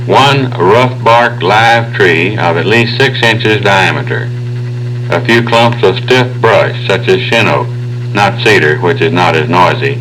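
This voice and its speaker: A man giving measured, formal scripted instruction as a hunting guide. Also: lowish voice, a bit bright. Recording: old noisy tape with hum.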